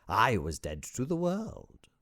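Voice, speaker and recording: A man voicing a mischievous, falsely modest young hermit sage in an audiobook, with a theatrical, gleeful sales-pitch manner. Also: big pitch swings, measured pace, darkish tone, dry recording, clean studio sound. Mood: mock apologetic.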